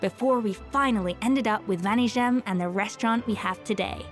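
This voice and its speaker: An actress playing a proud, exacting chef in a video game, speaking precisely and with haughty self-assurance. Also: female voice, mid-range voice, fairly quick, over loud music, close to an everyday voice. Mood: lecturing.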